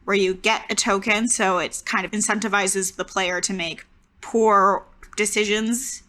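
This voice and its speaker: A woman's voice: medium pitch, steady tone, measured pace, bright, forceful tone. A woman casually discussing story ideas and rules as a player in a tabletop roleplaying game.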